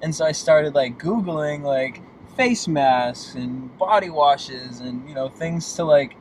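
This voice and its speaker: A man in his late twenties from Boston chatting casually and candidly as a guest in a car, with emphatic, expressive delivery. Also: a little high, slow, poor audio. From Boston, United States. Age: late 20s. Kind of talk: casual chat. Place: inside a moving car. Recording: clear vehicle road noise. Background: car driving noise.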